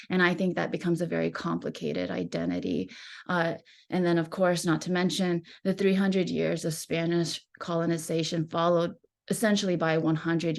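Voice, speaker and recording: A woman from West Texas with an American accent, giving an informal slide talk as an artist in a reflective, halting manner. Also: fairly low pitch, vocal fry, darkish tone. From West Texas, United States. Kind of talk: informal slide presentation about own life and art.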